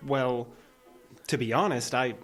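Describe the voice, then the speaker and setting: A man's voice: mid-range voice, a little slow, close to an everyday voice. A man playing a mild, unassuming, concerned young man in an audio drama, speaking hesitantly.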